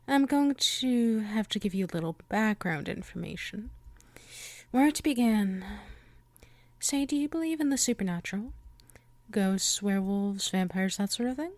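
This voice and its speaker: A woman's voice: mid-range voice, no echo. A woman playing a coy, possessive female vampire in an ASMR roleplay, coaxing the listener with chatty, teasing politeness.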